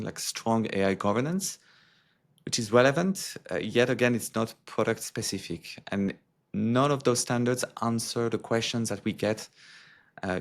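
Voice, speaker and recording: A man who works as a security executive, answering interview questions in a halting, filler-heavy way. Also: fairly low pitch, creaky.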